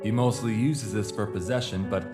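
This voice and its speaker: A man playing a courteous, formal leader of a secret organization in an audio drama, speaking in a measured, polite way. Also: on the low side, over loud music, close to an everyday voice. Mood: calm, explanatory.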